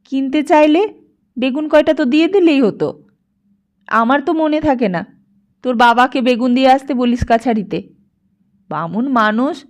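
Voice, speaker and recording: A woman's voice: high-pitched, normal speed, pauses often, pitched above the performer's own voice, lightly acted. A woman voicing a blunt, practical old village grandmother in an audiobook, chiding and emphatic. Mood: scolding.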